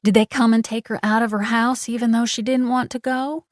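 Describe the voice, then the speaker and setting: A woman's voice: fairly high pitch, fairly even intonation, dry recording, clean studio sound, voice pushed up, lightly acted. A woman doing a cooing, vicious Southern belle. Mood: taunting. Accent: Southern US drawl. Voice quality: honey-coated drawl, cooing. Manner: syrupy, taunting, drawn-out words. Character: sweetly vicious Southern woman, mocking, false-polite.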